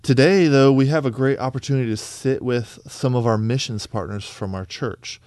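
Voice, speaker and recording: A man's voice: medium pitch, moderate pace, a little mellow, no echo. A man hosting a church podcast in a friendly, chatty way. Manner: friendly, chatty, with fillers and restarts. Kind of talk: casual interview chat.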